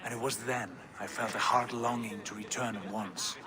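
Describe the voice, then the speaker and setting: An actor playing a proud, ambitious Viking chieftain's son with a hearty, commanding way of speaking in a game cutscene. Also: male voice, medium pitch, steady tone, normal speed, close to an everyday voice. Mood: earnest. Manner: hearty, commanding, grand speech. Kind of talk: video game cutscene acting.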